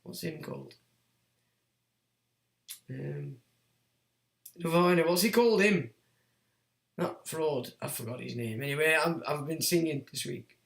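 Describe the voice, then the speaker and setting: A hoarse man, croaky from being sick, hosting a rambling, sardonic solo livestream monologue. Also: on the high side, unhurried, long pauses, fairly bright tone. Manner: rambling, sardonic, talks to himself with fillers. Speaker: livestream host. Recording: echoey room.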